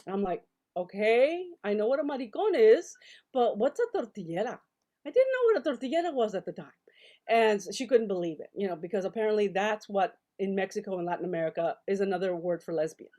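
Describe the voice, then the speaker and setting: A woman's voice: medium pitch, brisk. Chatty older woman on a video call. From El Paso, Texas. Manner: animated, chatty, many fillers and restarts. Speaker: guest, novelist and scholar. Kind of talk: casual storytelling interview. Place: home study with full bookshelves.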